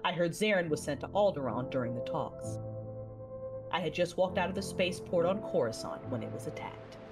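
Woman acting low-voiced, reflective Jedi mother. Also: animated, fairly quick, close to an everyday voice. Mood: reflective, wary. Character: Jedi woman and secret mother, reflective, war-weary, loving. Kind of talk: scripted first-person audio drama narration. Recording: music under the voice.

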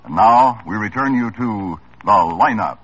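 A man's voice: lowish voice, animated, unhurried. A man giving a formal announcer's read between segments of an old radio crime drama. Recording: dull 1950s radio broadcast recording.

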